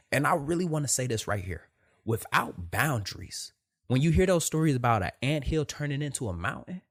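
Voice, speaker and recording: A young man from Detroit with a soft voice, hosting a casual podcast monologue, repeating phrases and swearing as he tells a story. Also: mid-range voice. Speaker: podcast host.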